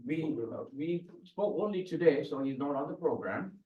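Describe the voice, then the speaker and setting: A man's voice: on the high side, lively intonation, moderate pace, dark tone, slight background noise. A man moderates a panel, politely inviting speakers and hesitating often on uhs. He is heard from a distance, with a roomy echo. The sound is dull.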